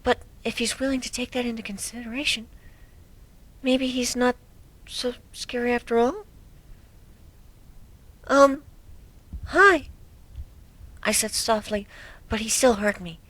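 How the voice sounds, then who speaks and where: A woman acts out a gentle, anxious young pegasus mare with a high, soft voice. She speaks hesitantly and can sink toward a whisper. It is a first-person dramatic reading of a cartoon fan story. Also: unhurried, long pauses, no echo. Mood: timid, nervous. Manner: hesitant, soft-spoken, breaking into near whispers.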